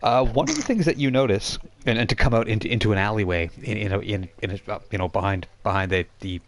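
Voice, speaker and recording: A man running a tabletop game as game master, narrating off the cuff and explaining in a halting, self-correcting way. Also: on the low side, animated, a little hiss or hum.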